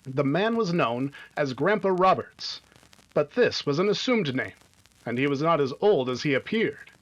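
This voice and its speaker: A slightly muffled, lo-fi recording of a man narrating a radio adventure. His voice sits a little high for a man, in the scripted manner of old-time radio storytelling. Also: animated, moderate pace.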